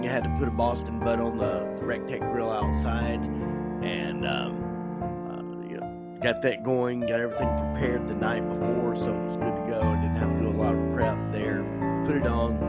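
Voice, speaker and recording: A middle-aged American man talking casually as a podcast host, halting and meandering, a bit croupy from a cold. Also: mid-range voice. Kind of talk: casual personal podcast monologue.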